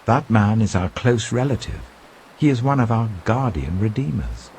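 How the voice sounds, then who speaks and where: A man's voice: on the low side, expressive, moderate pace, a little mellow, a little hiss or hum, voice pushed up. A man voicing a caring older woman, a devout and motherly mother-in-law in a scripture story. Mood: advising.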